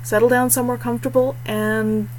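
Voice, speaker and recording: A woman's voice: fairly high pitch, little pitch movement, brisk, a little mellow, a little hiss or hum, poor audio. A woman author introducing her reading channel in a casual, self-deprecating, chatty way. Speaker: indie fantasy author.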